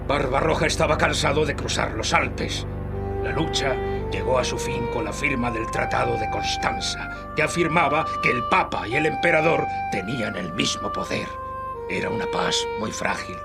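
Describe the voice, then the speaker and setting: An actor playing an old exiled nobleman who recounts history in solemn, measured Castilian Spanish, as a dramatic game narration. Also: medium pitch, creaky, over loud music, natural voice.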